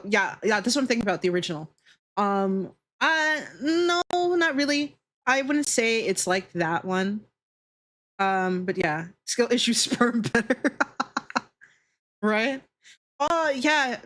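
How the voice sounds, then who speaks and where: High-voiced woman chatting casually on a livestream. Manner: animated, opinionated, sweary. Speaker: livestreamer hosting a Q&A.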